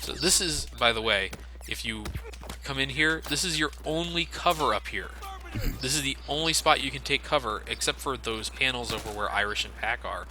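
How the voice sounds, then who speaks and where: A man's voice: mid-range voice, normal speed, background noise. A man giving casual, explanatory game commentary with plenty of swearing. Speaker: let's play commentator. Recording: loud game gunfire behind voice. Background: video game gunfire and action.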